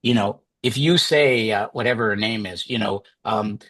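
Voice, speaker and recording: A man leading a journalism writing workshop in a chatty, conversational way, full of fillers and quick "right?" checks. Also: lowish voice, a bit bright, no room sound. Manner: chatty, many fillers and restarts, asks "right?".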